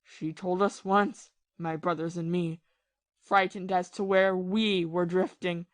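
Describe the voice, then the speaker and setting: A woman playing a bitter, lovesick, ruined ex-army captain in a period audio play, confessing passionately and haltingly. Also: fairly low pitch, fairly even intonation, dry recording. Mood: anguished. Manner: confessional, impassioned, halting with repeated phrases.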